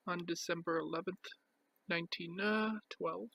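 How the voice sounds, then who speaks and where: A man giving a casual show-and-tell as a collector, speaking in a halting, piecemeal way with pauses. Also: on the high side, monotone, gravelly, bright, forceful tone, no room sound, low-quality recording. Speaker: record collector presenting his collection.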